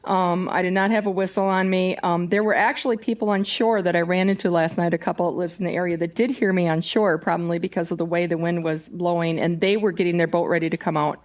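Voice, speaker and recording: A woman with a fairly low voice talks loudly and with emphasis. She tells her story in a chatty, animated way, as a guest in a relaxed interview. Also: quick pace, hardly any pauses. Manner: loud, emphatic, chatty with laughs. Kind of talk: casual interview.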